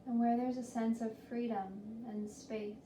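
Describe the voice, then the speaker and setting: A woman leading a guided body scan meditation in a gentle, soothing, deliberate manner with long pauses. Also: on the high side, level tone. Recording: roomy, distant mic with faint noise.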